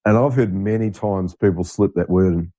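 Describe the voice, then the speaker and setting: A man's voice: sits low, normal speed, dark tone. A man in casual chat, talking conversationally and repeating points for emphasis.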